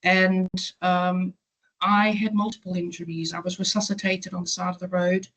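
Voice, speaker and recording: A woman's voice: lowish voice, flat delivery, normal speed, fairly bright tone, rough recording. South African woman recounting her story. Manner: matter-of-fact, with frequent ums. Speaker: patient advocate speaker. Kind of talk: webinar presentation. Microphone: video call.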